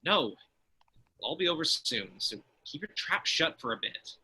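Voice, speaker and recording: A man playing an anxious, easily panicked delivery driver in a comic table read, with an excitable, whiny, exasperated delivery. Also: on the high side, normal speed, slightly rough, exaggerated voice. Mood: irritated, firm.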